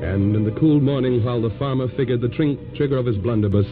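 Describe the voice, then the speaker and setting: An actor playing a solemn, urgent storyteller, narrating an old radio drama in a dramatic scripted style. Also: male voice, medium pitch, quick pace, music in the background, natural voice. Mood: urgent, grave. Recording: tinny, noisy old radio recording.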